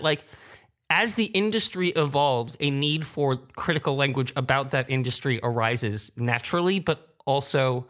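A man's voice: fairly high pitch, fairly bright tone. A man speaking candidly in a casual roundtable discussion, thinking aloud and correcting himself as a creator on the panel. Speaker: audio drama creator and sound designer, roundtable panelist.

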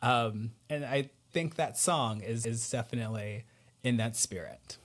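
A man's voice: mid-range voice, measured pace. A man hosting a podcast in a casual chat, supportive and encouraging toward the person he talks with. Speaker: podcast host.

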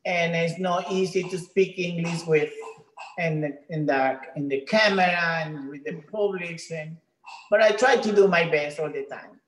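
Venezuelan woman, halting English, echoey room. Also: on the low side. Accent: Venezuelan Spanish. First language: Spanish. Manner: halting non-native English with many restarts. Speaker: gym trainer, guest. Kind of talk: casual interview.

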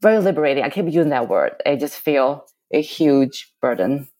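A woman with a low, mellow voice whose first language is Chinese. She talks earnestly about her own experience, halting and repeating words as she finds her phrasing. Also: very animated. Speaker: coaching client. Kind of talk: reflective casual conversation.

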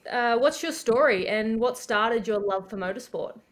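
A woman co-hosting a podcast, chatty and teasing, talking in quick bursts. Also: on the high side. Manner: bubbly and teasing, fast bursts. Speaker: podcast co-host. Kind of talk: casual chat.

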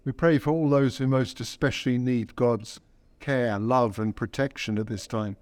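A man leading intercessory prayers as a priest, reverent and measured, with deliberate pauses between short phrases. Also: mid-range voice, fairly even intonation, a little mellow, dry recording. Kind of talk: intercessory prayer in a church service.

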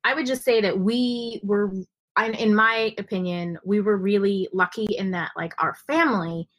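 A woman from San Francisco, a podcast guest, speaking in a thoughtful, measured, slightly hesitant way. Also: on the low side, fairly bright tone. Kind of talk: casual interview.

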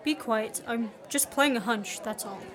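A woman acting the part of a brisk, impatient man on the run in an amateur radio play. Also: a little high, over a noisy crowd, very noisy, close to an everyday voice. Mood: tense, commanding. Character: Richard Hannay, wronged male fugitive, brisk and impatient. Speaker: student actor.

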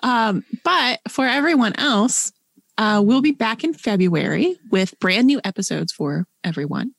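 A woman's voice: fairly high pitch, animated, a little slow, gravelly, no room sound. Dry, wry woman podcast co-host, close sound. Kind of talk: casual podcast chat and sign-off.

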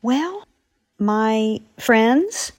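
A woman's voice: a little high, lively intonation, extremely slow. A woman author speaking in a friendly way to young listeners about her work. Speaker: author, regular segment guest.

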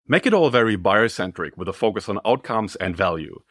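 A man reading a scripted business training article as narrator, in a clearly articulated, instructive way. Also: on the low side, sing-song, a little fast, a bit bright, dry recording, clean studio sound.

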